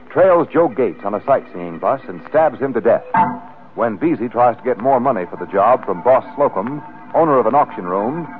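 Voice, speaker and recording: Emphatic 1940s radio announcer, lively man. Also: medium pitch. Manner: polished, emphatic delivery. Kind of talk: 1940s scripted radio announcing, ad and story narration. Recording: narrow-band, noisy 1940s radio recording.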